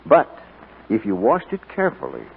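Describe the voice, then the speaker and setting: A man's voice: mid-range voice, wildly swooping pitch, normal speed, creaky, a little hiss or hum. A man announcing and reading a scripted 1940s radio commercial. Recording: muffled 1940s radio recording.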